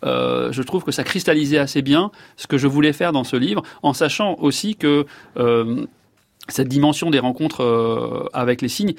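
A man's voice: mid-range voice, moderate pace, gravelly, no room sound. Hesitant French man, dry studio sound. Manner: hesitant, many fillers and restarts. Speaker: guest, neurologist and author. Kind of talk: reflective interview answers.

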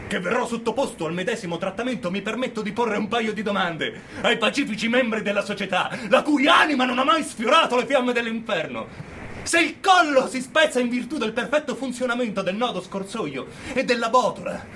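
Defiant death-row convict, high voice, scornful theatrical declamation, radio drama, clearly noisy recording. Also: male voice, expressive, fairly quick, full character voice. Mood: grim, hushed, then sarcastic outrage. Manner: declamatory, scornful, swinging from hushed narration to shouting. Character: defiant condemned male prisoner, bitter, mocking his jailers. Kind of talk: theatrical monologue, radio play.

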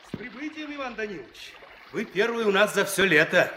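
An actor playing a courteous, talkative riverside official in a Soviet radio play. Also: male voice, high-pitched, sing-song, fairly quick, background noise. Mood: welcoming, brisk.

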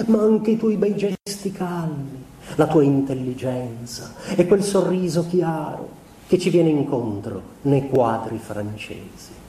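An actor playing an introspective, melancholy musician confessing to his wife, in a measured literary stage monologue with deliberate pauses. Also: on the high side, mellow, natural voice. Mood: wistful, subdued. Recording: roomy echoing stage sound.

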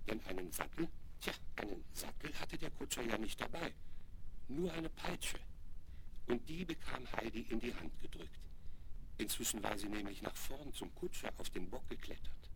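A male narrator with a gravelly, creaky edge tells a children's story at a measured pace of phrase, adding playful asides. The sound is a heavily crackling 1970s vinyl record. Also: on the low side, animated. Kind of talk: scripted children's audio play narration.

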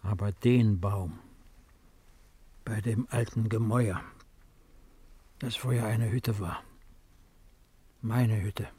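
A man's voice: on the low side, steady tone, slow, pauses often, a little mellow, husky. An older man playing a brooding, wistful old emperor in a 1950s radio drama, speaking in a reflective, musing way. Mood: wistful, bitter.

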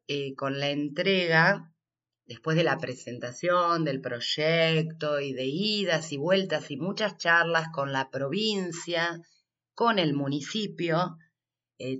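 Argentine woman interviewee, bright, forward voice, unhurried and halting explanations, slightly muffled recording. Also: low voice. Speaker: workshop coordinator being interviewed. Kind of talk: unscripted interview answers.

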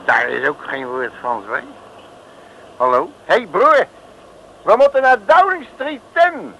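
An actor in a 1960s radio comedy serial playing a blustering, streetwise detective with a cocky, colloquial way of talking. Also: male voice, sits high, expressive, takes it slowly, sharp tone, exaggerated voice. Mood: puzzled, brash. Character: brash, blustering streetwise male detective, comic hero. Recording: noisy old radio recording.

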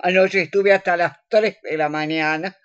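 A woman's voice: fairly low pitch, steady tone, normal speed, dry recording, lo-fi sound, close to an everyday voice. A woman playing a grumpy, sardonic older lady in a comedy radio play, complaining emphatically in a Rioplatense Argentine accent. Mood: griping.

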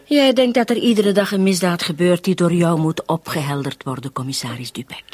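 A woman's voice: fairly low pitch, a little fast, darkish tone, close to an everyday voice. A woman acting a police commissioner's sceptical, teasing wife in a radio play, with a wry, questioning manner.

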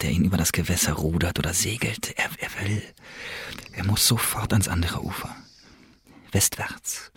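An actor playing a wary, brooding male secret agent in a radio drama, telling his story in a confiding, dramatic way with a husky, breathy voice. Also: deep voice, no room sound, heavily acted. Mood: seductive, intimate. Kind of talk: German radio drama monologue, intimate storytelling.

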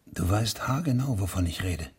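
An actor playing a grave, persistent investigating judge in a German radio play, questioning insistently in a husky, breathy voice. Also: male voice, fairly low pitch, lively intonation, normal speed, a little mellow. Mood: quietly insistent.

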